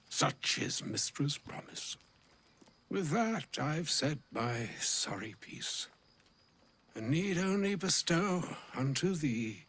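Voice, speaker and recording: A solemn old wizard from a fantasy game, with a creaky, gravelly voice. He speaks slowly and poetically, his pitch rising and falling widely over faint music. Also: a bit bright, heavily acted. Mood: regretful, solemn. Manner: grave, measured, poetic, archaic phrasing. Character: solemn, kindly old wizard sage, messenger of a goddess. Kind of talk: theatrical fantasy game acting.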